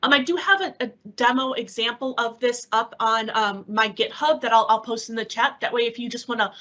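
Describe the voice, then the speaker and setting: A woman's voice: on the high side, fairly quick, pushed and bright. A woman giving an informal, upbeat, encouraging product explanation as a technical presenter on an online call. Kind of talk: conversational webinar product explanation. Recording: slightly dull online-meeting audio.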